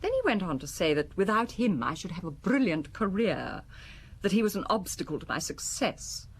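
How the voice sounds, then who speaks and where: Cool, low-voiced, haughty wife. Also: female voice, sing-song, moderate pace, slight background noise. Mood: scornful. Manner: composed, haughty, articulate, defensive. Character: cool, proud, faintly contemptuous married businesswoman. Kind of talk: radio drama acting.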